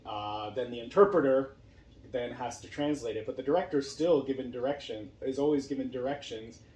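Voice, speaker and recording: A man's voice: mid-range voice, fairly quick, a little mellow. A man talking casually as a podcast host and actor, telling stories in a room at a home. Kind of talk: casual chat. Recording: roomy echo, faint background noise.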